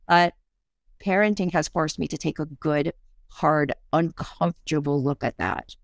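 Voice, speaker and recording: A woman's voice: low-pitched, measured pace, dry recording, clean studio sound. A woman in her fifties, a parenting coach and author, talking earnestly and reflectively in a podcast interview, with self-deprecating honesty.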